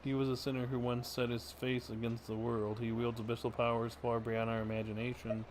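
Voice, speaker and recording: An actor playing a calm, kindly young traveling knight in a video game, soft-spoken and measured. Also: male voice, mid-range voice, slight background noise, lightly acted, poor audio. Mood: grave. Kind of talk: video game character acting.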